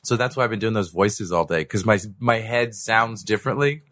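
A man's voice: on the low side, a little fast, fairly bright tone, no room sound. A man hosting a casual podcast, joking and laughing often, his voice congested from a bad cold, speaking close to the mic. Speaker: podcast host. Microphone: close studio mic.

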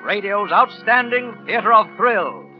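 Male 1940s announcer, emphatic and animated, high pitch, music bed, dull vintage radio sound. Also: unhurried. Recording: muffled vintage radio recording.

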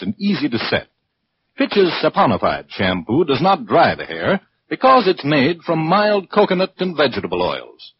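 Animated high-pitched American radio announcer man. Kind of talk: 1940s radio commercial, scripted announcing. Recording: muffled 1940s radio, faint hiss.

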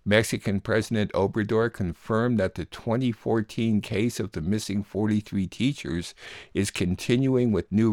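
Man, radio show host, low voice, scripted news introduction, dry studio sound. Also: a little mellow, dry recording.

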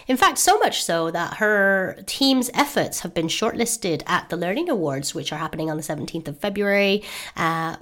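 Enthusiastic woman host from the US, layered American-Irish accent, lower-pitched, casual interview delivery. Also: fairly bright tone. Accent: mixed American and Irish-sounding. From United States. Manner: enthusiastic, bubbly. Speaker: podcast host.

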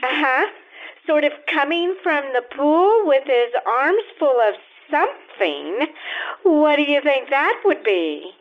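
A woman acting a high, singsong tattling girl. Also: sing-song, takes it slowly, exaggerated voice. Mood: coy, tattling. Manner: coy, hesitant, storytelling. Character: nosy, tattling young girl, coy and dramatic. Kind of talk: old-time radio acting, live stage performance. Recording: thin, phone-like lo-fi stage recording.

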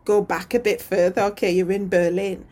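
A woman's voice: medium pitch, little pitch movement, normal speed, darkish tone. A woman hosting a podcast interview, chatty and enthusiastic, with lots of fillers. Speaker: podcast host. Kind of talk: casual interview chat.

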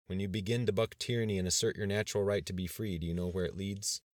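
A man reading the part of a grave, defiant male governor in an audiobook, measured and rhetorical. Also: low voice, steady tone, no echo, studio quality, lightly acted. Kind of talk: dramatized audiobook dialogue.

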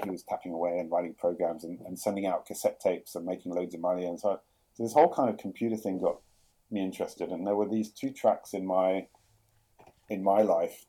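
A middle-aged man with a London English accent, speaking in a low, very dark and mellow voice. He talks casually in a podcast interview, hesitating often and circling back with fillers as he gathers his thoughts. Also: slightly rough, poor audio. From England. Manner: hesitant, many fillers and restarts. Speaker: guest, product executive.

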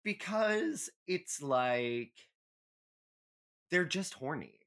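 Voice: medium pitch, animated, extremely slow, fairly bright tone. A podcast co-host in casual chat, giving candid, emphatic, sweary opinions like a comic rant.

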